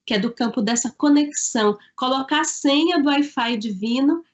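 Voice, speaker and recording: A woman from Salvador, an author answering interview questions in an earnest, thoughtful way with the odd halting restart. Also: sits high, highs cut off. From Salvador, Bahia, Brazil. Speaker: author and guest.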